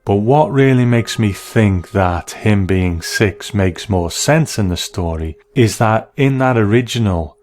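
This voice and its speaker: A man hosting a show gives measured, thoughtful commentary in a conversational, analytical way. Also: low voice, a little mellow. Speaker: podcast host reviewing a TV episode.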